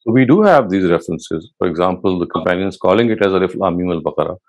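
A man in his sixties giving a measured academic lecture from a studio, answering questions and explaining patiently, with frequent "uh" pauses. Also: deep voice, expressive, a little mellow. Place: studio set with bookshelves and wall lamps.

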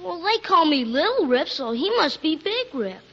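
A woman's voice: high-pitched, sing-song, moderate pace, a bit bright, full character voice. A woman playing a cheeky young village boy, a farmer's son, in 1940s radio style. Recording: muffled 1940s radio recording.

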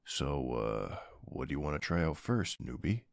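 A man's voice: rumbling low, very animated, moderate pace, vocal fry, no echo, studio quality, heavily acted. A man playing a flirty, laid-back gym bro in an ASMR roleplay, drawling and teasing in an easygoing way.

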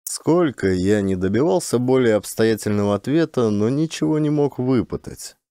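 A man narrating a literary short story as an audiobook, in a measured, expressive storytelling manner. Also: mid-range voice, no echo, studio quality.

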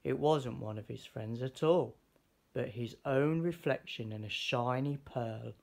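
A man reading a children's picture book aloud in an expressive storytelling style. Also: medium pitch, unhurried, creaky. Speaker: storybook reader.

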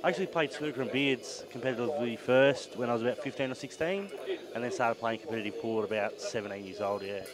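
A man answering interview questions into a handheld mic in a pool hall, relaxed and thinking aloud. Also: mid-range voice, quick pace, music in the background. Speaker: pool player.

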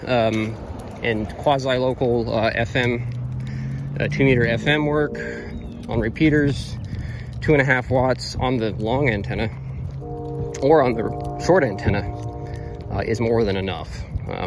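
Hesitant American man on a noisy street. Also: medium pitch. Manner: hesitant, rambling, self-correcting. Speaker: radio hobbyist presenter. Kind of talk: casual product demonstration. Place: outdoors on a roadside sidewalk. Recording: noisy outdoor recording with traffic.

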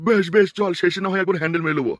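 A man's voice: high-pitched, rapid-fire, fairly bright tone, no echo, clean studio sound. A man voicing a brash, crude, bossy cartoon schemer. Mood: crude, eager. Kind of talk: comic cartoon dubbing.

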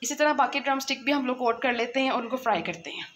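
A fairly high-voiced woman talks viewers through a recipe in a relaxed, repetitive way, blessing each step as she cooks. She is recorded on a phone in a home kitchen. A faint hum of room noise sits behind her voice. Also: quick pace. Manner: chatty, repeats words, Islamic blessings before each step. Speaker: cooking channel host. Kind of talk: casual cooking tutorial narration.

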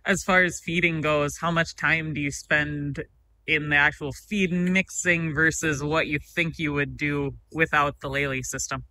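A man's voice: on the high side, steady tone, moderate pace, pushed and bright, slight background noise, rough recording. A man off camera asking direct, short interview questions.

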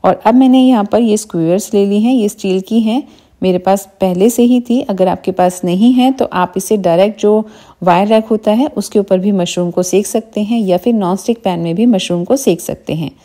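A middle-aged woman hosting a cooking show from her home kitchen, calmly explaining each step of a recipe and often inviting the viewer to look. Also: medium pitch, normal speed. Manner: calm, patient explaining with frequent "dekhiye" prompts. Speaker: cooking channel host.

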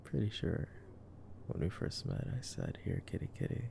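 A man in a casual ASMR roleplay, commenting on a movie in a soft, hushed, intimate murmur. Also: low-pitched, lively intonation, moderate pace. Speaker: ASMR roleplay voice actor as boyfriend. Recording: clearly noisy background ambience.

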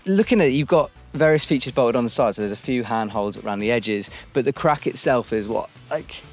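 A man's voice: medium pitch, animated, fairly quick, faint music behind. A man presenting on location, describing a training cellar and asking questions. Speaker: presenter interviewing a climber.

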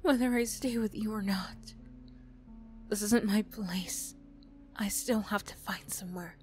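A woman's voice: medium pitch, measured pace, darkish tone, faint music behind, close to an everyday voice. A woman voicing a defiant, guilt-ridden young female bully in an emotional ASMR roleplay, blunt and defensive. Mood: hushed, bitter.

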